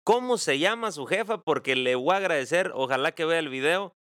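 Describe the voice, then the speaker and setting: A man's voice: a little high, lively intonation, brisk, fairly bright tone. A man in his twenties with a Mexican accent, hosting a casual interview. He is chatty and teasing and uses slang. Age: 20s. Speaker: interviewer, host.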